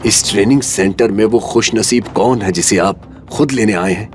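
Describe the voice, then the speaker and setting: A man's voice: fairly low pitch, moderate pace, faint music behind, close to an everyday voice. A man playing a deferential, astonished army major in an audio drama.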